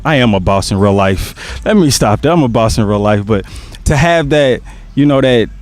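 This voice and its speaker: A young man, a stage actor, chatting casually in an interview, laid-back and joking, dropping "man" into his sentences. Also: mid-range voice.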